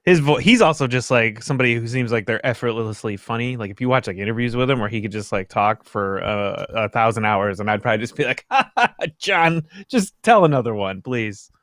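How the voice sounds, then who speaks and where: A man's voice: medium pitch, lively intonation, brisk, few pauses, fairly bright tone, no room sound, studio quality. A man, telling things loosely and informally as a podcast panelist. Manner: loose, informal storytelling with swearing. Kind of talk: casual chat.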